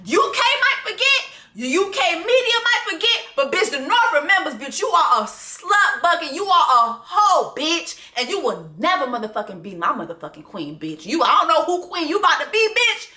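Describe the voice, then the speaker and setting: Woman vlogger going off, cussing and mocking, sharp bright voice, echoey room. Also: extremely high pitch, sing-song, moderate pace, few pauses. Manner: profane, fired-up and mocking. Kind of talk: ranting commentary on a docuseries.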